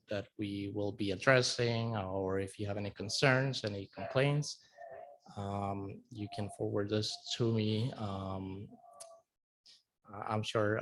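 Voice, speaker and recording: A man's voice: fairly low pitch, slight background noise. Hesitant young man from El Salvador. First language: Spanish. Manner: hesitant, many filler sounds. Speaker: code enforcement officer. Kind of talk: self-introduction at a town council meeting. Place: council meeting room.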